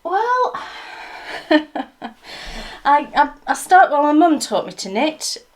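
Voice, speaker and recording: A woman's voice: high-pitched, very animated, very slow. A woman co-hosting a casual chat show at home, telling stories in a chatty, easygoing way. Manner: chatty, storytelling, laughs easily. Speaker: co-host.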